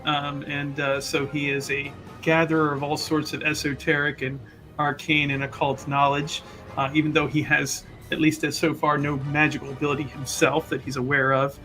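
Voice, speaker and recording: Man, bright higher voice, casual tabletop player, jokey rambling, faint music behind. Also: flat delivery, normal speed, poor audio. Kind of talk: casual chat, introducing a game character.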